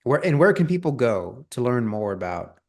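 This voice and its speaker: Male host, mid pitch, friendly, affirming, casual. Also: sing-song, moderate pace, creaky, a little mellow. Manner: friendly, affirming, calls guest brother. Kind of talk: casual interview talk.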